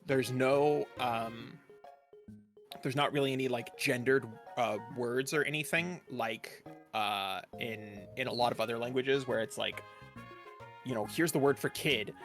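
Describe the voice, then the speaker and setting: A man's voice: mid-range voice, measured pace, fairly bright tone. Guy co-streaming, mid voice, rambly jokes and swearing, faint music. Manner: rambling, joking, swears casually. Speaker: co-streamer guest. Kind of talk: casual chat while streaming a game.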